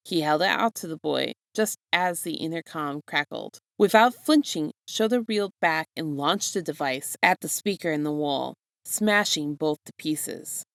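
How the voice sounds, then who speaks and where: A woman narrates a story in a low voice with plenty of creak. Her scripted reading is expressive, and the sound is close and dry. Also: normal speed, few pauses, dry recording. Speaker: narrator reading fan fiction.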